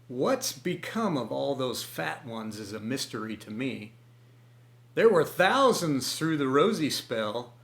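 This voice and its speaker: A man voicing a vain, hungry male rooster who grumbles gruffly in a storybook reading. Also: mid-range voice, big pitch swings, takes it slowly, a bit bright, full character voice. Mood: grumbling. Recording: echoey room.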